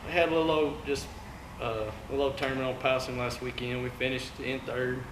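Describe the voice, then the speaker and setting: A man from East Texas with an East Texas accent, a guest angler talking casually in a laid-back, halting way full of fillers. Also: medium pitch, little pitch movement. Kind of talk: casual chat. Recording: echoey room with loud ambient noise.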